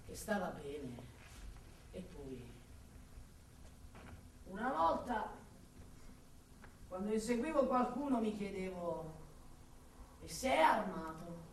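Poliziotto stanco e tormentato, molto riverbero. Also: female voice, mid-range voice, far from the mic, a little hiss or hum. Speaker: actress in a radio play. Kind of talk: radio drama monologue. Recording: very echoey room, off the mic, music behind.

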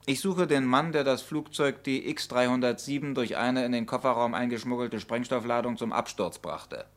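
An actor playing a sardonic, sceptical police investigator in a mid-century German radio crime play, questioning with pointed irony. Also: male voice, medium pitch, normal speed, close to an everyday voice. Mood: sarcastic, insistent.

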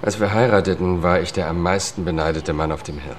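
An actor playing a weary, disgraced middle-aged lawyer who tells his story in a measured, understated way in a German radio drama. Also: sits low, slight background noise, natural voice. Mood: wry, confiding. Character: weary disgraced Washington lawyer, confiding and haunted. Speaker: first-person narrator and lead character. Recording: studio radio play with music.

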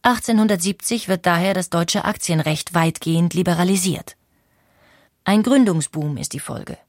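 A woman narrating a history documentary in a clear, steady scripted reading. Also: on the low side, no echo, clean studio sound.

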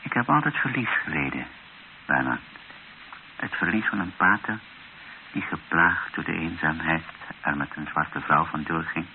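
Weary bishop, low voice, grave and reflective, radio drama, hissy old recording. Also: male voice, lively intonation, narrow, tinny audio. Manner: reflective, grave, rhetorical, with deliberate pauses.

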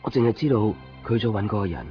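A man's voice: on the low side, very animated, very fast. A man acting a brooding, resigned lover in a Hong Kong Cantonese radio drama. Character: brooding young man abandoned by his girlfriend. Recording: muffled radio recording, music behind.

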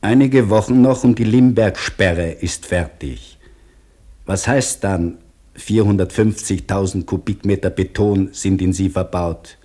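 Sober working man's diary: very low, dark tone, measured radio drama delivery. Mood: sober, reflective. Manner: measured, terse, reflective. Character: sober, weary male dam labourer reading his diary.

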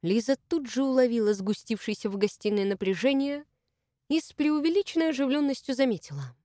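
A woman with a fairly high voice reads a novel aloud in an even, measured way. The recording is close and dry, with no room sound at all. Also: no echo. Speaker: audiobook narrator. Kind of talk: scripted narration.

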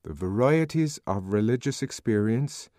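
A lively, wide-ranging voice for an urbane man in power as a male narrator acts him. He lectures with wry authority and genial teasing, in the style of a novel read aloud. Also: mid-range voice, normal speed, dry recording, studio quality, voice pushed up, close to an everyday voice. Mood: amused, showing off. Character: urbane, wry, authoritative male world controller, amused intellectual.

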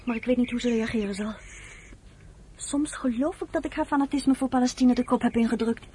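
Actress as an earnest, high-voiced, hesitant woman. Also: a little fast, warm tone, slight background noise, lightly acted. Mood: troubled, earnest. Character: earnest, hesitant foreign woman, torn but caring. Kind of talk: Dutch radio play acting.

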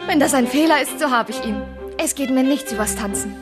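An actress playing a lively, warm-hearted young country gentlewoman in a period radio drama, speaking in a spirited, frank way. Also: high voice, brisk, natural voice. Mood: lively, open. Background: music.

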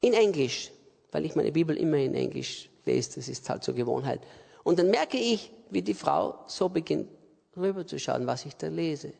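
A man's voice: a little high, animated, moderate pace, creaky, darkish tone, slightly rough. A man with an Austrian accent preaching an impassioned storytelling sermon as a pastor, with an emphatic, repetitive manner. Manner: emphatic, repetitive, rising to fervent exhortation. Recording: long reverberation of a hall.

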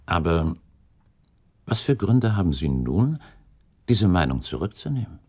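A man playing an earnest, puzzled gentleman companion to a prince in a German radio play, speaking formally and respectfully. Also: low-pitched, very animated, a little slow, husky, exaggerated voice. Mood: doubtful, pondering.

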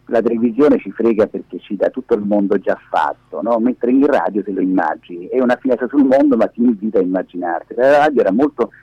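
A husky, lowish-voiced man speaks over a muffled telephone line as a radio guest. He tells things conversationally and emphatically, with very lively intonation, repeating key words. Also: quick pace, hardly any pauses, dry recording. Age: 50s. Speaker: radio interview guest, performing media teacher. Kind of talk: animated conversational storytelling. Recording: dull, muffled phone call.

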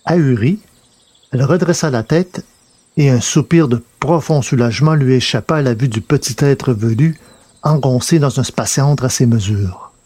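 A man's voice: mid-range voice, normal speed, mellow, dry recording. A man reading a scripted science fiction adventure as narrator.

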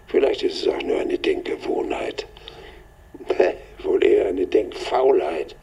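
A man's voice: on the low side, gravelly, warm tone, muffled recording, exaggerated voice. An actor playing a weary, reflective man in his nineties, musing wryly in a radio drama monologue. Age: older. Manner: musing, deliberate, wry.

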